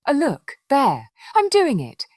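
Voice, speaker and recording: A woman voicing an eager, plucky little storybook girl with an excitable, earnest manner. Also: sits high, slow, dry recording, studio quality, voice pushed up, heavily acted. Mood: triumphant.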